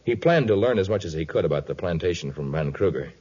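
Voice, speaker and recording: Deep, commanding jungle hero. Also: male voice, animated, fast, darkish tone, breathy edge. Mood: grave, assured. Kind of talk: 1950s radio adventure acting. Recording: dull vintage 1950s radio recording.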